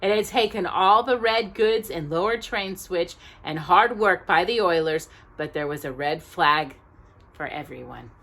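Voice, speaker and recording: A woman narrating a children's storybook expressively, with deliberate, drawn-out emphasis aimed at small children. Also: fairly low pitch, lively intonation, a bit bright.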